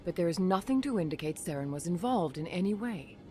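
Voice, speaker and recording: An actress playing a composed alien councilor in a video game, speaking in a measured, official and diplomatic way. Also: female voice, fairly low pitch, animated, darkish tone, slight background noise, natural voice. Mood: calm authority. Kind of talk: dramatic video game dialogue.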